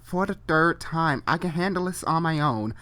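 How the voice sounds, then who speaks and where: A man's voice: a little high, animated, moderate pace, bright, forceful tone. Man reading as an imperious, stubborn God. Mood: dismissive. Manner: commanding, dismissive. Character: stubborn, imperious God, a dismissive king and father.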